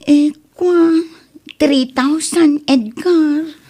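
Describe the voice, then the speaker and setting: A woman's voice: sits high, drawn-out words, dark tone. A middle-aged woman with an Ilocano accent, acting a plain-spoken, concerned provincial mother in a comedy drama. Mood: excited. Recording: noticeable background noise.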